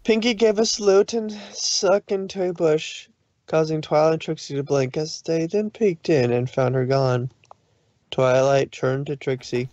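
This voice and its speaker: A man's voice: fairly high pitch, a little slow, darkish tone, dry recording, dull audio. A man narrating a fan fiction story aloud in an informal read-through.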